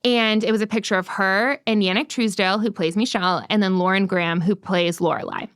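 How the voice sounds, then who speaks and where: Young woman, podcast co-host, casual chat, filler-heavy, smooth voice, dry close sound. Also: mid-range voice, steady tone, brisk, a bit bright, no room sound. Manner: chatty, frequent "like" fillers, leads the questions.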